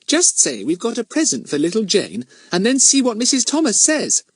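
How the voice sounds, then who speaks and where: A man voicing an eager, impulsive little girl in a storybook, excitable and gushing. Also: sits high, moderate pace, breathy edge, dry recording, clean studio sound, pitched above the performer's own voice, exaggerated voice. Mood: confident.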